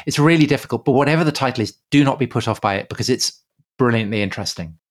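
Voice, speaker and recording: Halting, chatty male podcast host. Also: mid-range voice. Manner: hesitant restarts, animated when joking. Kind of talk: bantering podcast chat.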